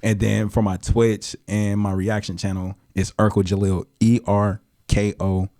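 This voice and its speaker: A young man with a very low voice talks as a podcast guest. His delivery is relaxed and slangy, full of fillers as he rambles about music. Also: measured pace. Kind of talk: casual podcast chat.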